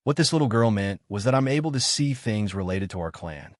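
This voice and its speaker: A man voicing a young slave girl of a nonhuman clan in a fantasy audiobook, formal, deferential and quietly confident. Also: lowish voice, lively intonation, a little fast, no echo, clean studio sound, close to an everyday voice. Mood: deferential, explaining.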